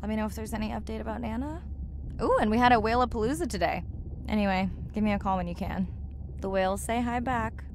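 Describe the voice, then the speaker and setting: A woman playing a dedicated young ocean scientist in a video game, warm and casual in conversation. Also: a little high, quick pace, slight background noise, natural voice. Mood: casual, venting. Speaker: marine scientist and diver. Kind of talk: naturalistic video game voice acting. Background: background music.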